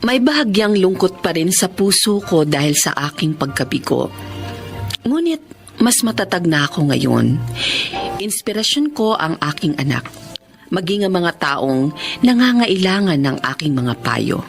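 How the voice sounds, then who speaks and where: A woman's voice: lowish voice, very animated, unhurried, music in the background. An actress with a Filipino accent playing an emotional, heartbroken wife and mother in a radio drama. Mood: resolute, wistful. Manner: emotional, pleading. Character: heartbroken wife and mother, a psychiatrist betrayed by her husband. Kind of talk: Tagalog radio drama acting.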